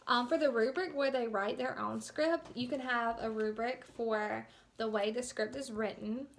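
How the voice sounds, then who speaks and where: A woman's voice: on the high side, fairly even intonation, moderate pace, creaky, a little hiss or hum. A young woman giving an informal instructional presentation as a student teacher, explaining things a little hesitantly.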